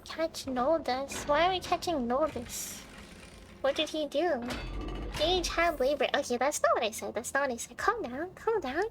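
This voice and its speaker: A woman's voice: sits high, lively intonation, normal speed, a little hiss or hum. A woman giving casual, playful live commentary on a game, chattily thinking aloud as she plays. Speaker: game streamer. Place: indoor livestream setup with game music behind the voice.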